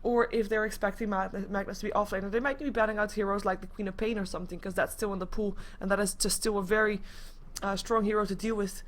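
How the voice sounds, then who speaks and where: A woman casting an esports match live in a casual, chatty, expressive way. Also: medium pitch, quick pace, hardly any pauses, a little hiss or hum. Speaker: esports commentator.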